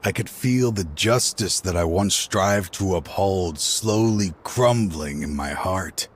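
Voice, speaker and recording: An actor playing a weary, regretful older man in a video game, a grieving father who sighs and talks slowly. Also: low-pitched, slight background noise. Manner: weary, slow, sighing. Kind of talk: game cutscene voice acting.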